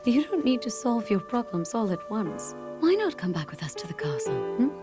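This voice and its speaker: A woman voicing a kindly, reassuring and gently teasing queen in a video game, with a soothing manner. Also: fairly high pitch, very animated, normal speed. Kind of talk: video game cutscene voice acting. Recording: music behind the voice.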